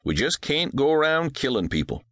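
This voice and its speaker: A man playing a devout widowed rancher father from Texas, speaking softly and patiently to his young daughter. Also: mid-range voice, sing-song, moderate pace, dry recording, studio quality, voice pushed up. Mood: patient, gentle. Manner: soft, patient, reassuring.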